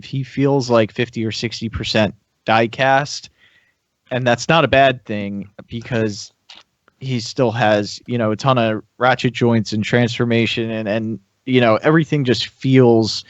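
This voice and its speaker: A man's voice: mid-range voice, no echo. A man chatting casually as a podcast panelist reviewing toys, hesitant and thinking aloud as he goes. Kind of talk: casual chat. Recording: slightly dull, lo-fi sound.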